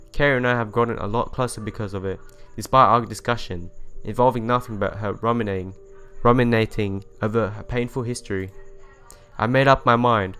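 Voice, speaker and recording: A man's voice: on the low side, fairly bright tone. A man reading visual novel narration and dialogue aloud as a let's play host, stumbling over and rereading the odd phrase, with game music behind him.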